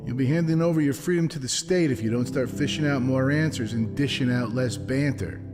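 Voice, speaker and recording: A hard-boiled police detective , played by a man, sardonic and pressing, with a mid-pitched voice. It is noir audio drama, reverberant, with music clearly playing behind him. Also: brisk, natural voice.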